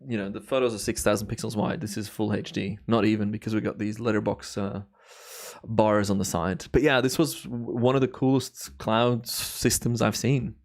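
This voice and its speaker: A slightly hoarse young man from Belgium, chatting casually with viewers while reviewing his timelapse footage on a live stream. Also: lowish voice. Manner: relaxed, rambling, chatty with viewers. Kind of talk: relaxed live stream commentary.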